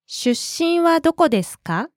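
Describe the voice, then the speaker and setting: A woman modeling short phrases for a language lesson, crisp and deliberate. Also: very high voice, sing-song, slight background noise. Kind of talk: slow, careful phrase modeling.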